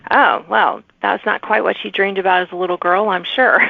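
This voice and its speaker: A woman's voice: medium pitch, moderate pace, gravelly, no echo. A woman hosting a podcast interview in an enthusiastic, encouraging, conversational manner. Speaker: podcast host. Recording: narrow phone-like audio.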